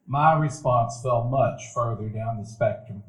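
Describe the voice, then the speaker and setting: A middle-aged man tells the story with wry, reflective warmth of memory at a medium pitch. It is recorded live, with a roomy echo from the theater. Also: a little slow. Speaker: narrator. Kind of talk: storytelling narration in a live radio play. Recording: echoey live theater room.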